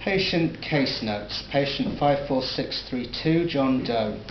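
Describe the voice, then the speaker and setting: An actor with a Northern English accent reading a late-night radio DJ, a newsreader and a doctor's notes in a scripted audio drama. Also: male voice, mid-range voice, takes it slowly, lightly acted. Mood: flat. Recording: dull lo-fi roomy recording, faint hiss.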